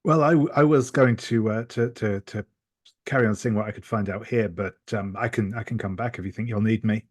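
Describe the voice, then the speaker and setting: A man with a fairly low voice, recorded very dry, plays a character in a tabletop game. He speaks conversationally and haltingly, feeling his way through each sentence. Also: no echo. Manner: halting, hesitant. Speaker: tabletop roleplaying player.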